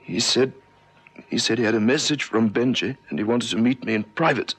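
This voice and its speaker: A young newspaperman in a radio play, shaken and apologetic. His mid-pitched voice is husky and halting, tripping and repeating itself as he explains. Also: a bit bright. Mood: hesitant, earnest. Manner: hesitant, stammering, halting. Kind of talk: 1970s radio drama acting.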